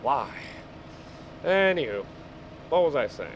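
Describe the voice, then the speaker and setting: A man casually telling rambling stories while he plays a game, halting and easily distracted. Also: on the high side, big pitch swings. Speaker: gamer telling stories over gameplay. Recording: clear background vehicle engine noise. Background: game vehicle engine sounds.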